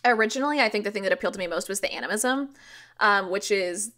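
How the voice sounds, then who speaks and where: A woman's voice: medium pitch, fast, sharp tone. A woman from rural Missouri, a podcast guest explaining things casually and informally, thinking aloud as she goes. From rural Missouri, United States. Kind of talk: casual explanatory interview talk.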